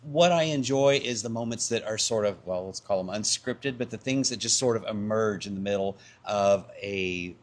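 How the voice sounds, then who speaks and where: A man's voice: fairly low pitch, normal speed, hardly any pauses. A man being interviewed as a community theatre performer, answering in a thoughtful, casual way. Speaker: community theatre actor and narrator, interview guest.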